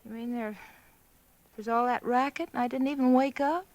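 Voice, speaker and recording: An actress playing an uneasy young wife who fusses anxiously and sighs, in a 1940s radio drama. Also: female voice, on the high side, steady tone, moderate pace, noisy background, lightly acted. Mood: fretful.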